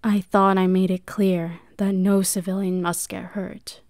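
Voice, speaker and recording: A woman's voice: mid-range voice, flat delivery, a little slow, darkish tone, no room sound, clean studio sound, natural voice. A woman voicing a cold, commanding woman leader who hunts witches, in an amateur roleplay drama. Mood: stern.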